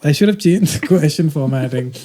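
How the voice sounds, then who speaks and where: A man's voice: fairly high pitch, expressive, fairly quick, warm tone. A man, hosting a casual podcast chat, playful and teasing, with many fillers and restarts. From India. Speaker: podcast host.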